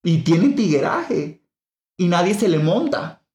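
A woman from the Dominican Republic with a Dominican accent, hosting a reflective discussion. She thinks out loud, restarts often and leans hard on key words. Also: on the low side, sing-song, measured pace, reverberant. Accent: Dominican Spanish. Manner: thinks aloud with frequent restarts and emphatic stress. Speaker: podcast host.

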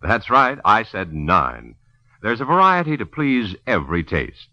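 A dull, dry old radio recording features an American male announcer with a low, very sharp, punchy voice. His pitch moves in big lively swings as he delivers scripted copy with polished emphasis. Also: unhurried, dry recording. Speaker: announcer and narrator. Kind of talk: vintage radio ad read and scripted narration.

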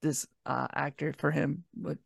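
A man's voice: a little high, steady tone, unhurried, creaky, husky, no room sound, studio quality. A man in his twenties chatting casually as a guest on a review podcast. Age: 20s.

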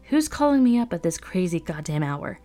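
A woman voicing a brusque adult woman roused by a late-night phone call, curt and suspicious, with a raised pitch. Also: big pitch swings, fairly quick, faint music behind. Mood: suspicious, snappish. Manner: sharp and suspicious, then sweetly friendly. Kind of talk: audiobook character voice.

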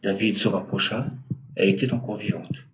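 A man narrating a scripted audio drama as a storyteller, in measured short phrases. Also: lowish voice, steady tone, dull audio. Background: music.

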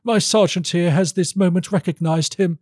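Man putting on a haughty, high-voiced baron. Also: normal speed, a little mellow, breathy edge, dry recording, studio quality. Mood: deferential, formal. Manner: haughty, dismissive. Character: haughty, scornful male baron, master of artillery. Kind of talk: audiobook character voice.

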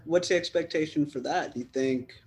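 A man's voice: a little high, a little fast, a little mellow. A man from the United States interviewing a footballer, asking long, rambling questions in a chatty way.

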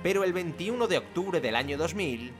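Lively dramatic male documentary narrator. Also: a little high. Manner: dramatic, measured storytelling. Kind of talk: scripted sports documentary narration. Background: music.

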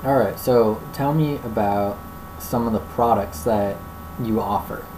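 A woman's voice: a bass voice, measured pace, noisy background. A woman from Florida hosting a casual interview, easygoing and enthusiastic, with slangy talk. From Florida, USA. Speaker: interviewer, host.

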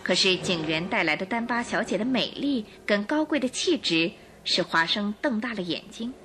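A woman narrating a radio drama in an expressive, suspenseful storytelling manner. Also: medium pitch, moderate pace, noisy background.

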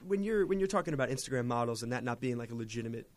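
A man's voice: mid-range voice, rapid-fire, no echo. A young man with a sniffly cold talks animatedly, often stumbling over his words and restarting before he gets to his point. He sounds like a friend chatting casually, and the recording is dry and close. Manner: animated, stammering restarts, tangents. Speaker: podcast guest. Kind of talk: casual chat.

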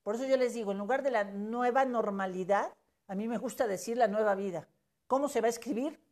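A woman's voice: a little high, a little fast, darkish tone. A Mexican woman in her fifties giving an emphatic, preachy motivational talk on social media, with casual swearing. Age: 50s.

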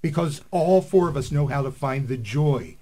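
A man's voice: a little high, lively intonation, moderate pace, warm tone. A male radio co-host in casual panel chat, good-humored and teasing, keen to keep the peace.

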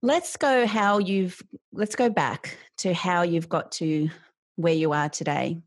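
Aussie woman host, lowish voice, chatty and encouraging, dry close sound. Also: slow pace, no room sound. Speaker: interviewer host. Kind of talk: casual interview chat.

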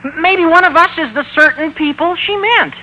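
An extremely high-voiced teenage schoolboy in an old radio comedy. The voice squeaks and cracks, whining and argumentative, through clearly noisy vintage audio. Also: male voice, moderate pace, pushed and bright, heavily acted. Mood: nervous. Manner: whiny, argumentative. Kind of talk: 1940s-50s radio comedy acting.

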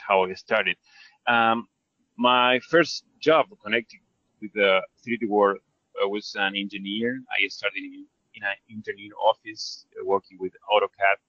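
Lowish-voiced Argentine man, hesitant and modest. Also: sharp tone, dry recording, dull audio. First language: Spanish. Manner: hesitant, self-effacing, searching for words. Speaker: interview guest, 3D character artist. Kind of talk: casual conversational storytelling.

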